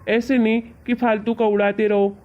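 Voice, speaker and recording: A woman's voice: on the high side, level tone, moderate pace, natural voice. A woman playing an exasperated, reasoning husband in an amateur cartoon story. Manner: reasoning, chiding, colloquial. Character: exasperated young husband, patient and teasingly lecturing. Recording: dull, lo-fi audio.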